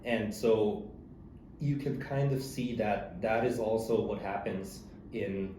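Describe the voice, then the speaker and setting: A man answers interview questions in a polite, casual way. His voice is on the low side and he speaks hesitantly, often repeating words. The room is echoey, with clear background noise. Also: steady tone, takes it slowly, dark tone. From Philippines. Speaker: interview guest, lawyer.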